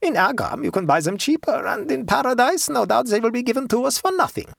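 A narrator voicing a stolid, shrewd peasant woman in a storybook, calm, devout and matter-of-fact. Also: medium pitch, gravelly, slightly rough, no room sound, studio quality, pitched above the performer's own voice, exaggerated voice. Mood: calm haggling. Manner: tranquil, unhurried, matter-of-fact.

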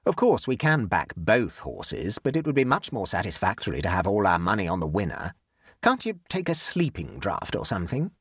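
A man voicing an eager, easily exasperated young gentleman gambler in a storybook, petulant and insistent. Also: on the low side, huge pitch swings, normal speed, husky, no echo, studio quality, heavily acted. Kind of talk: audiobook character dialogue.

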